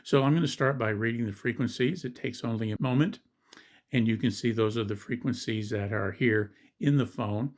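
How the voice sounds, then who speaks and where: A man giving a step-by-step product review and app demonstration as a gadget reviewer. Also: on the low side.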